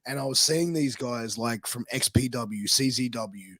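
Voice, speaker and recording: A man with an Australian accent co-hosting a podcast. His voice is hoarse and raspy, shot from ring announcing, and he talks earnestly and tells stories. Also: medium pitch, a little slow, fairly bright tone, dry recording. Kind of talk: casual podcast chat.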